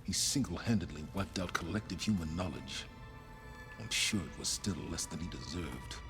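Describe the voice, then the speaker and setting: A man's voice: low-pitched, moderate pace, music in the background, close to an everyday voice. An actor playing an arrogant, cynical male scholar in a video game, speaking with condescending deliberation. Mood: disdainful.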